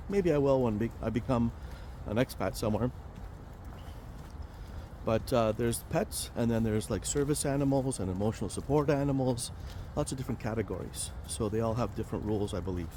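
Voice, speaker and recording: A man hosting a casual vlog while walking outdoors near a highway, chatty and rambling with many fillers and restarts. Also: a little fast, warm tone, a little hiss or hum. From Romania. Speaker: vlog host, financial planner.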